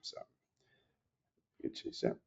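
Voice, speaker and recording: A man's voice: takes it slowly, dull audio. A middle-aged man from Puerto Rico who runs a company, giving an informal presentation with many fillers and restarts. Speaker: company president. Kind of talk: presentation at an online meeting. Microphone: video call.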